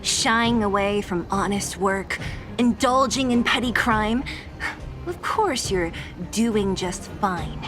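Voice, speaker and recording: A woman's voice: mid-range voice, slow, over music, natural voice. A woman voicing a cool, teasing young adventurer in a video game, with a dry, wry manner. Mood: sardonic.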